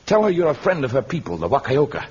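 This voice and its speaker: An actor playing a noble, earnest and commanding jungle hero in a vintage radio serial, with formal, deliberate diction. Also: male voice, medium pitch, huge pitch swings, a little mellow, husky. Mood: urgent. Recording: dull noisy vintage radio recording.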